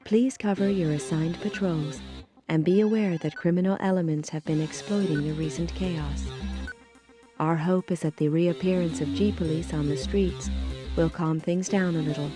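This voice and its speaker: A woman playing a composed police official in a video game, making formal, measured announcements to all units. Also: on the low side, fairly even intonation, music in the background, natural voice. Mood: calm, official.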